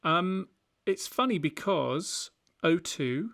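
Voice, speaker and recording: British man, dryly recorded, slightly high voice. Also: expressive, slow pace, creaky, fairly bright tone, no echo, clean studio sound. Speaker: podcast co-host. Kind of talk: casual tech podcast chat.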